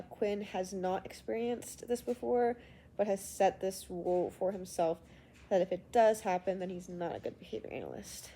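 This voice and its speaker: A woman's voice: mid-range voice, mellow, a little hiss or hum. Congested woman reading a class assignment. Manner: hesitant, reading prepared answers with frequent ums. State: sick and congested. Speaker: student. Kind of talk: recorded class assignment answer.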